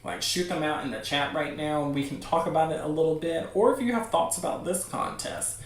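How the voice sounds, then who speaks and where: A man hosts a livestream in a casual, encouraging, conversational style. His voice sits a little high for a man. The room is very echoey and he sounds set back from the mic. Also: a little fast, distant mic. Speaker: livestream host. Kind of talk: casual chat to a livestream audience.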